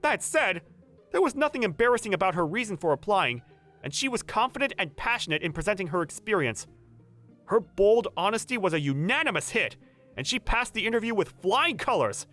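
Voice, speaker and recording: A man's voice: high-pitched, very animated, brisk, fairly bright tone, soft background music. An actor playing an earnest, protective young male manager who narrates a romcom manga dub in first person. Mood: flustered. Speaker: narrator and lead character.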